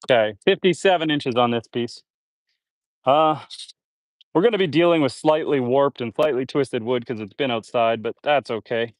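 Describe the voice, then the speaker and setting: A man's voice: mid-range voice, normal speed, no echo. Chatty, slightly congested homesteader man. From Canada. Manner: relaxed, chatty, reads viewer comments aloud. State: a little congested. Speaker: homesteader live-streaming. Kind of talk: casual live-stream chat while building. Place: outdoors on a homestead.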